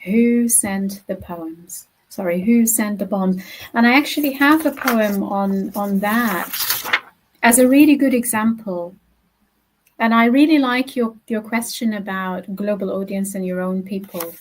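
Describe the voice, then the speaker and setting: A woman whose first language is Arabic, a poet speaking in an interview in a measured, earnest and emphatic way. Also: medium pitch, rough recording. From Gaza, Palestine. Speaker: poet, guest. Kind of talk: interview answer.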